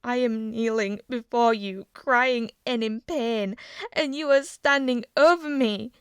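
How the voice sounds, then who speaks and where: A woman voicing a desperate, shouting young prince. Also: a little high, normal speed, clear, resonant tone, no room sound, studio quality, close to an everyday voice. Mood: defiant. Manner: shouting, pleading, tearful.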